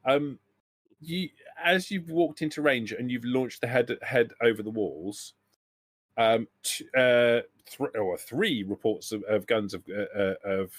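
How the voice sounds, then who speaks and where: A man's voice: medium pitch, no room sound. A man narrating a tabletop game as game master, improvising and often rephrasing as he goes. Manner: hesitant, halting, often rephrasing.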